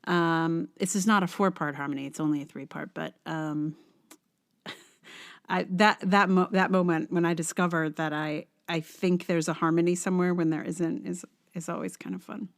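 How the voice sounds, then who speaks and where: A woman's voice: fairly low pitch, steady tone, normal speed. A woman podcast host chatting casually in a rambling, conversational way.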